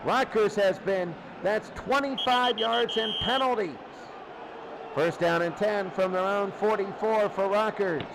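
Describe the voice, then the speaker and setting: A man calling live American football play by play in an excitable, exclaiming manner. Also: high voice, measured pace. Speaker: play-by-play announcer. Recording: clearly noisy recording.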